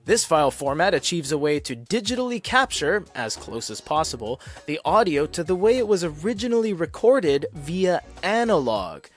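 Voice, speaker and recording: A man with a slightly higher-pitched voice reads a scripted gadget review. He breaks down technical jargon step by step and adds wry, offhand remarks along the way. Soft music plays faintly underneath. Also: sing-song, moderate pace, few pauses. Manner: explanatory with wry casual asides. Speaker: tech reviewer. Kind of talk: scripted product review narration.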